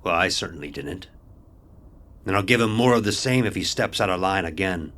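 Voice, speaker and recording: A man playing a stern, gruff ship's officer who tells a sea tale in commanding, archaic nautical speech for an audio horror drama. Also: sits low, expressive, a little fast, a bit bright. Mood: firm. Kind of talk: first-person dramatic storytelling, audio horror.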